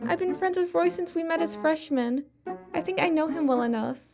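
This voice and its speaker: A woman plays a young female college student in an audio drama, with a high voice. She is loyal and firm, speaking up emphatically and protectively. Music is clearly heard behind her. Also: fairly quick. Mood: earnest, loyal. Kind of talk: scripted audio drama acting.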